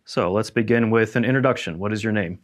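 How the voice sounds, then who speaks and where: A man's voice: fairly low pitch, fast talker. A man interviewing a guest in a historic house, asking plain, methodical questions.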